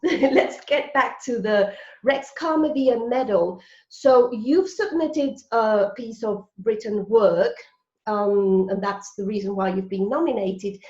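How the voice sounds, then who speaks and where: A woman's voice: medium pitch, slow pace, darkish tone. A woman hosting an online interview show in an enthusiastic, effusive way over a video call. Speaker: host. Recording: roomy, dull video call audio.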